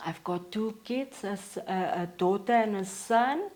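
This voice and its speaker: A South African woman in her forties, a counselor talking as a podcast guest in a steady, matter-of-fact way with frequent pauses. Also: mid-range voice. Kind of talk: casual interview talk.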